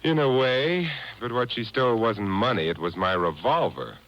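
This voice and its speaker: An actor playing an urbane, wry gentleman detective in a 1950s radio drama, dry and teasing. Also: mid-range voice, expressive, moderate pace, over loud music, natural voice. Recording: dull, hissy old radio tape.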